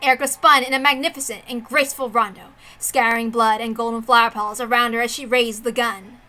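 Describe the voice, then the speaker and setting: A woman's voice: fairly high pitch, steady tone, fairly quick, sharp tone. A woman narrating a visual novel as a dramatic story reading. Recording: music behind the voice. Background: background music.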